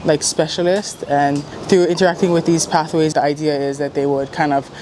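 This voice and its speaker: A woman speaks in a flat, even tone, casually explaining things in an interview. There is faint ambient noise behind her voice. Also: deep voice, brisk.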